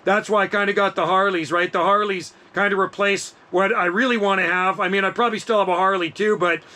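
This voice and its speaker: A man's voice: sits high, fairly even intonation, a little fast, sharp tone. A man in his fifties chatting casually over two-way radio as an amateur operator, enthusiastic and easygoing, with plenty of slang. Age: 50s.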